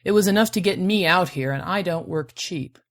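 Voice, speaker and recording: A woman's voice: fairly low pitch, fairly quick, no room sound, studio quality, natural voice. A woman voicing a wary female space bounty hunter in an audiobook, self-assured and matter-of-fact. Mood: cool, dry. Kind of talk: audiobook character voice.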